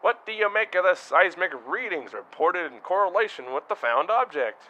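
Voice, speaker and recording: A man's voice: high-pitched, animated, moderate pace, pushed and bright. An actor playing an upbeat male radio field reporter who interviews a scientist in a chatty way. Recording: dull lo-fi recording.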